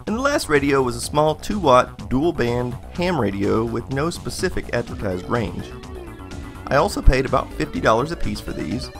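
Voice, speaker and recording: A man's voice: medium pitch, creaky. An American man narrating a scripted product test in a plain, methodical, matter-of-fact way. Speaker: product reviewer, channel host.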